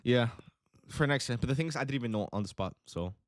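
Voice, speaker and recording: Young male streamer, Miami accent, Italian-born, medium pitch, rambling filler-heavy delivery, dead-dry room. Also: lively intonation, fast, no echo. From Italy. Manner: rambling, filler-heavy, says bro a lot. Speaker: day trading livestream host. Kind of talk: casual livestream chat with chat readers. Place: home trading setup in Miami.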